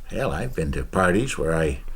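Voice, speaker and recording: An actor playing a blunt, sceptical intelligence interrogator in a radio drama, informal and needling. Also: male voice, extremely low pitch, big pitch swings, moderate pace, fairly bright tone, slightly rough, full character voice.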